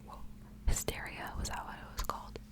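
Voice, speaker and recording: A woman speaking in a breathy whisper in the style of an ASMR reader, softly reading and chatting. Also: fairly quick. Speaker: ASMR reader hosting a book series. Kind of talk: whispered ASMR storybook reading with casual asides.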